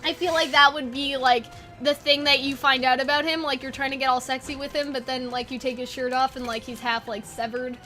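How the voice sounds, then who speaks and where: A woman hosting a casual brainstorming chat, animated and rambling, with a playfully dismissive way of talking. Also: high-pitched, brisk, music in the background. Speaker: video host.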